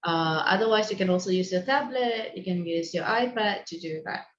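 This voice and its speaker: A woman from Malaysia leads an online teachers' session, explaining the meeting rules in a friendly, instructive way with many ums and restarts. Her voice sits a little low. The call audio is dull and lo-fi, with a roomy echo. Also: moderate pace, slight background noise. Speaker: online session host and co-founder. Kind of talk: hosting a video call meeting. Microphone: video call microphone.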